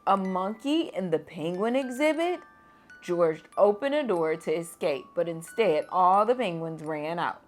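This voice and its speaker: Slow, gentle storytime woman. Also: lowish voice, soft background music. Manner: gentle, expressive, lively on exclamations. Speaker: storytime reader. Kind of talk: children's read-aloud.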